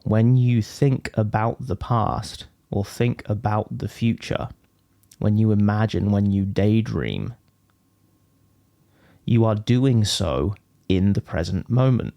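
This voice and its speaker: A man leading a guided meditation as a teacher, speaking in measured, deliberate phrases with frequent pauses. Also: on the low side, gravelly, dark tone, dry recording, studio quality.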